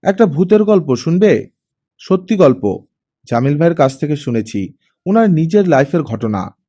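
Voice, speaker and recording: Audiobook role: lively, teasing girl talking to her dad, done by a man, raised and animated. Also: a little high, moderate pace. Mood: playful. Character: playful, teasing young daughter chatting with her father.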